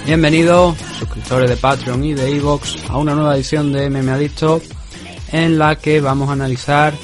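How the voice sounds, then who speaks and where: A man hosting a podcast, giving measured, conversational commentary that previews sporting events in an explanatory way. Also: on the high side, fairly even intonation, music in the background. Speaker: podcast host.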